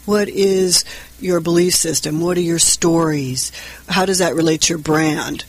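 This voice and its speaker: A woman's voice: fairly low pitch, steady tone, a little slow. A woman brand coach in an interview, talking earnestly and explaining with anecdotes. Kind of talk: casual interview talk.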